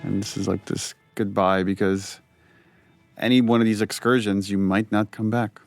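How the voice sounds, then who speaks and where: Low-voiced, even-toned man giving commentary. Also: normal speed, no echo, soft background music. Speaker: game director giving commentary. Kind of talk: director's commentary, casual conversational.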